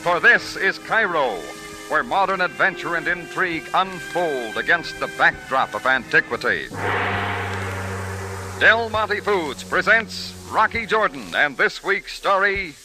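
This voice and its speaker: Casting: American male announcer, highish and unhurried, emphatic vintage radio salesmanship, loud music behind. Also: animated. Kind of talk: 1940s radio announcing, scripted.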